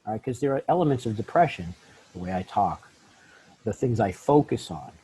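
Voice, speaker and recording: Man, middle-aged, husky voice, animated storytelling, life coach guest. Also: brisk, poor audio. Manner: acts out quoted voices with big pitch jumps. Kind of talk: animated storytelling in a podcast interview.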